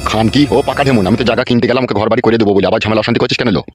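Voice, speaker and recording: A man's voice: medium pitch, steady tone, very fast, lightly acted. A man voicing an irritable, threatening father of a quarrelling household in a comic cartoon. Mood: scolding. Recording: loud music behind the dialogue.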